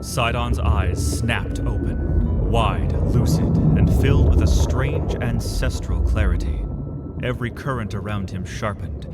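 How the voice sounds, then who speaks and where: Male narrator, lowish voice, slow pace, solemn dramatic scripted fantasy storytelling, intense.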